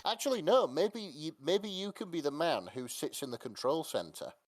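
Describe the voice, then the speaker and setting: A man's voice: a little high, animated, moderate pace, no room sound. A man chatting casually as a podcast co-host. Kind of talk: casual chat.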